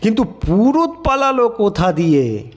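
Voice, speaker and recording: A man's voice: sits high, very animated, measured pace, voice pushed up. A man voicing a rowdy young prankster who feigns a bloodthirsty frenzy, with harsh, roaring, commanding threats in an audio story. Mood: fierce, demanding. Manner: menacing, commanding shouts.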